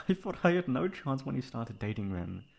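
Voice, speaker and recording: A man playing a tender, flustered, gently teasing best friend in love, in an intimate, halting ASMR romance roleplay. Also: medium pitch, very animated, vocal fry, slightly rough, pitched above the performer's own voice, heavily acted. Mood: flustered, surprised. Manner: soft, halting, affectionate.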